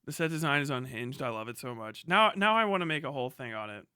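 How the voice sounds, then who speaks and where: A man in his thirties chatting casually as a livestream host, swearing freely and riffing in a sarcastic, animated way. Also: medium pitch, quick pace, smooth, dry recording, clean studio sound. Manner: profane, animated, sarcastic ranting.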